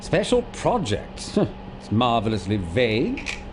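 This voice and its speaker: Wry, swooping British officer. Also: male voice, mid-range voice, huge pitch swings, a little slow, noisy background. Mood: amused, sardonic. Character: wry, flippant British officer at a wartime briefing. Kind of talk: scripted military briefing in a video game.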